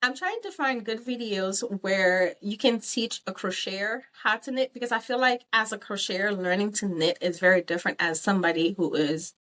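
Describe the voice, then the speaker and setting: A woman's voice: mid-range voice, normal speed, hardly any pauses, a bit bright. A woman who grew up in Haiti, talking through her crafting plans in a chatty, rambling vlog with frequent ums and some teasing of herself. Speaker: crochet vlogger. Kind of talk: casual vlog chat.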